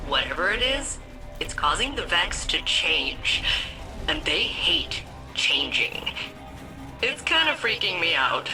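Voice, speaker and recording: Low, sardonic ship AI. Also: female voice, slow pace, full character voice, low-quality recording. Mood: sullen, sarcastic. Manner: deadpan, sarcastic, casual. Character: sardonic, moody female ship AI, world-weary slacker. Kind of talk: video game character dialogue. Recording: loud music behind the voice.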